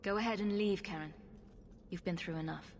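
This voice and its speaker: Blunt, wry adventuring heroine played by an actress. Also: female voice, fairly low pitch, fairly quick, slight background noise, natural voice. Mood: calm, firm. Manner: blunt, dry, assertive. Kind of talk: fantasy video game dialogue.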